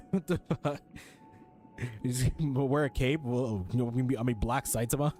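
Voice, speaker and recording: A man's voice: mid-range voice, steady tone, moderate pace, darkish tone. A man streaming a video game with casual, blunt, slangy live commentary and some swearing. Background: game music.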